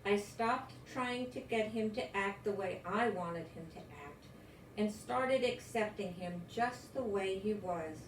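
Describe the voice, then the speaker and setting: Older lady reading kids stories, gentle n expressive, a bit far from the mic, faint noise. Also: medium pitch. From United States. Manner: slow, gentle, expressive, encouraging. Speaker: storyteller reading picture books to children. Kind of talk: read-aloud children's story with chatty asides. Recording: roomy echo, distant mic, faint noise.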